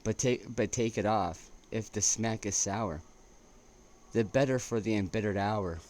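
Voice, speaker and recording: A man reading poetry aloud as an amateur, in a halting way with stumbles and restarts. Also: lowish voice, darkish tone. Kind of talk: poetry recitation with casual asides. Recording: noticeable background noise.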